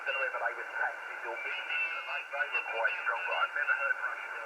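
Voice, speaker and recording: A man from England chatting casually as a CB operator, repeating phrases haltingly, his voice coming over the radio. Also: mid-range voice, steady tone, bright, forceful tone, breathy edge. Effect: voice heard over CB radio. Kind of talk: casual radio contact chat. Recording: distant, very noisy shortwave radio reception.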